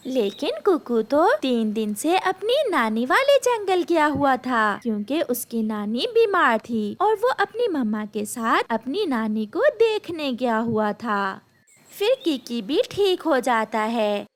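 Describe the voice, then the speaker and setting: A woman tells a scripted children's story in a high voice. Her delivery is slow, with pitch that rises and falls in an animated way.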